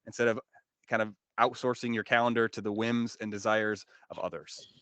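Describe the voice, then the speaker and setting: A man's voice: on the low side, flat delivery, fairly quick, a bit bright, smooth, dry recording. A man in his thirties explaining conversationally as a panel guest, on a close desk microphone. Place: small studio room with acoustic foam.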